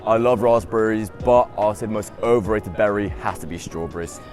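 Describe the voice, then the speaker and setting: A man's voice: on the low side, fairly even intonation, a little fast, over music. A young man in his twenties, a students' union officer who grew up in the UK, answering interview questions in an upbeat, chatty way with lots of "I mean" and "um". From United Kingdom. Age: 20s.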